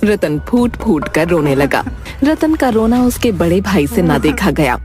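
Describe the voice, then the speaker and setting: A woman's voice: on the low side, big pitch swings, measured pace, over music. A woman narrator reading a scripted story in storytelling style.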